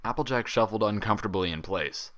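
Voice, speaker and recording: A man's voice: lowish voice, brisk, fairly bright tone. A man narrating fan fiction as a scripted, read-aloud story.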